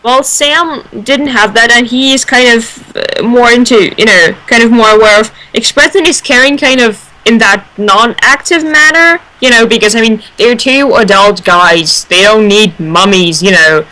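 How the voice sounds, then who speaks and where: A woman's voice: a little high, moderate pace, hardly any pauses, sharp tone, poor audio. A woman in a casual fan discussion, analysing aloud and searching for her words. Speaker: fan radio panelist.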